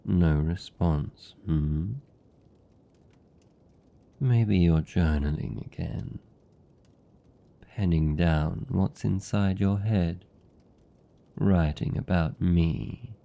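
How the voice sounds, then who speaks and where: Man as a very deep, gravelly British vampire. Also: highs cut off, exaggerated voice. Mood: coaxing. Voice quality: deep, dark, gravelly murmur. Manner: slow, teasing, velvety endearments. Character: seductive, possessive male vampire captor, teasing and doting. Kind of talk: intimate fantasy ASMR roleplay.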